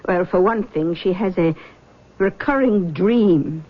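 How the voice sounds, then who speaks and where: A woman's voice: fairly low pitch, takes it slowly. An actress playing an anxious mother in a radio drama, speaking in a worried, pleading way. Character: anxious middle-aged mother, fretful and protective. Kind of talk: 1970s radio drama acting. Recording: dull old radio broadcast.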